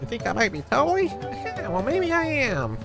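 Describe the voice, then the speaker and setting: A man's voice: very high voice, huge pitch swings, normal speed, gravelly, music in the background, voice pushed up, heavily acted. A man voicing a coy, boastful ranch hand in a video game.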